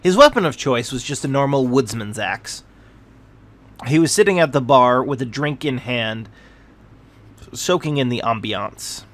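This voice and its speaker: A man's voice: mid-range voice, animated, a little slow, pauses often, fairly bright tone. A man reading a scripted fantasy tale aloud as its narrator.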